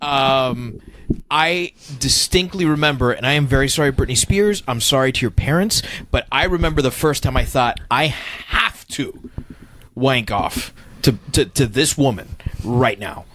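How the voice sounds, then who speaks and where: A man's voice: medium pitch, measured pace, a bit bright, a little hiss or hum. A man in his early thirties co-hosting a casual podcast chat, candid and self-mocking. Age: 30s. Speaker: podcast co-host.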